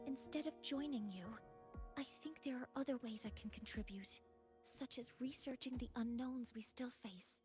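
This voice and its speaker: A woman's voice: high voice, close to an everyday voice. A woman playing a shy, earnest young professor in a video game, speaking politely and formally to a mentor. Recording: music behind the voice.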